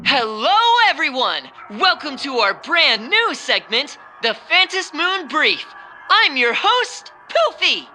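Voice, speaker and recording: A woman's voice: high-pitched, big pitch swings, takes it slowly, bright, forceful tone, faint music behind, heavily acted. A woman voicing a perky female cartoon mascot who presents game news in a bubbly, theatrical newscaster manner. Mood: bubbly, welcoming. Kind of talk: scripted mock TV news presenting.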